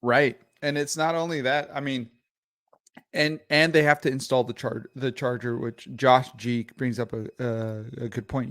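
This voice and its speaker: A man co-hosting a casual livestream, whose first language is Arabic. His voice sits at an average pitch and sounds dry and close to the mic. He rants heatedly and swears often, repeating himself as he builds steam. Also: no echo. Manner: profane, heated, repeats words. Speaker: podcast co-host.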